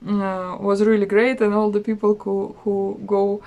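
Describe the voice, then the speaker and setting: A woman's voice: medium pitch, little pitch movement, unhurried, warm tone, lo-fi sound. Chatty woman with a Russian accent. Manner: chatty, hesitant with many fillers. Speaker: travel vlogger. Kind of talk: casual travel vlog.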